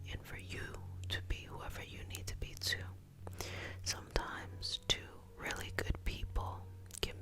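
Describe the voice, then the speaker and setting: A woman whispering breathily and soothingly as an ASMR reiki healer, slowly guiding a healing session and repeating calming phrases.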